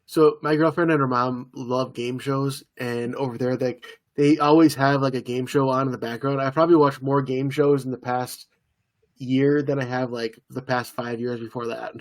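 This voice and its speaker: A hoarse young man co-hosting a podcast, chatting in a relaxed, easygoing way and laughing often. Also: medium pitch, normal speed. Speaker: podcast co-host. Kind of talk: casual chat.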